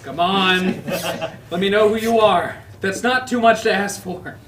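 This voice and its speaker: A man's voice: high voice, a little slow, far from the mic, a crowd in the background. A man reading the part of a wounded man who threatens, bargains and begs for his life at a comedy table read. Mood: bitter, pleading. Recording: roomy echo, off-mic, faint noise.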